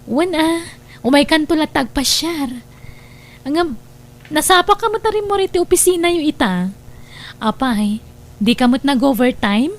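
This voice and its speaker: A woman's voice: high-pitched, lively intonation, normal speed, long pauses. A woman with an Ilocano Filipino accent acting a suspicious, hurt wife and mother in an audio drama. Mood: friendly, probing.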